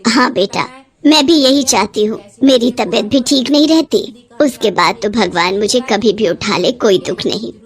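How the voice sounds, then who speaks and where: A woman's voice: a little high, moderate pace, pitched below the performer's own voice, close to an everyday voice. A woman voicing a frail, affectionate middle-aged mother in a family story. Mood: weary, earnest.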